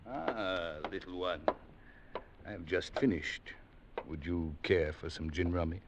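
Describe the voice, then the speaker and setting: An actor playing a dignified, quietly menacing underworld lieutenant with formal, deliberate speech in a 1940s radio drama. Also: male voice, sits low, very noisy. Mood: fond.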